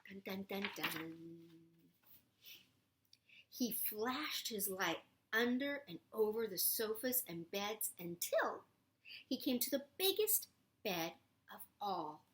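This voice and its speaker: Female storybook reader, playful and very expressive, slow-paced, slipping into hushed asides for a young listener. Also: mid-range voice. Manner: animated, playful, often drops to whispers. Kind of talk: expressive read-aloud to a young child with chatty asides.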